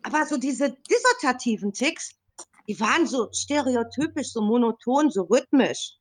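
A woman's voice: high-pitched, very animated, moderate pace. A woman of fifty-three giving a casual personal account as a podcast guest, explaining things step by step.

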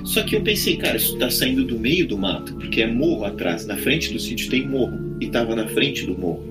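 A man telling a story casually in animated, slangy speech. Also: mid-range voice, fairly quick, low-quality recording. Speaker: podcast guest telling his own paranormal experiences. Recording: loud music bed behind the voice. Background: loud instrumental music.

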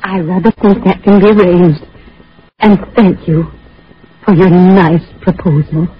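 A woman's voice: on the low side, a little slow, natural voice. A woman playing a devoted, composed, coaxing sweetheart in a 1940s radio drama. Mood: tender, coaxing. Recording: muffled old radio recording with music.

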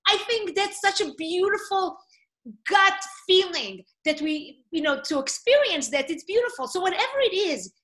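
A woman's voice: extremely high pitch, animated, normal speed, fairly bright tone. A woman from Israel with an Israeli accent, a non-native English speaker, hosting a casual online talk in an enthusiastic, encouraging, emphatic way. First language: not English. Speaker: host of a live online talk session. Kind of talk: casual interview chat. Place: online video call.

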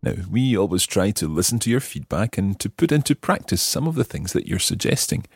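A man hosts a language lesson in a conversational, explanatory way. His voice is fairly low and husky, with creaky edges and lots of melodic movement. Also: very animated, fairly quick, a little mellow. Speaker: language lesson podcast host.

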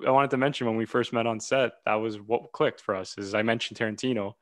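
A man's voice: fairly low pitch, fairly even intonation, fast talker, no echo. A man hosting a casual conversational interview, talking in a chummy way with many "you know" asides. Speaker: host and film director.

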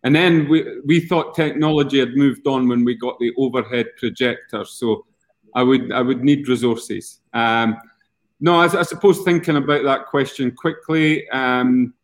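A man's voice: medium pitch, normal speed. A middle-aged man with a Scottish accent, chatting as an executive guest in a casual, wry manner. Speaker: guest, education company chief executive. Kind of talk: casual interview chat.

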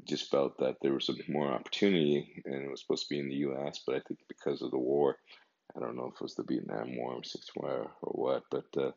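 Fifties male podcast host, gravelly, meandering and chatty. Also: extremely low pitch, fairly even intonation, quick pace, darkish tone. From Canada. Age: 50s. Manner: meandering, conversational. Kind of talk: casual podcast monologue. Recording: dull lo-fi recording.

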